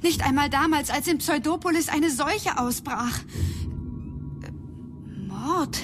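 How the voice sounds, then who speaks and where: A woman's voice: high voice, slow, over music. A woman playing a worried young daughter of Death in a radio drama, urgent and chiding.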